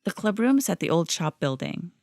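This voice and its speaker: A woman voicing a composed, helpful teenage girl student who speaks in a calm, courteous way, in a fan audio drama. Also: lowish voice, normal speed, gravelly, no room sound, clean studio sound.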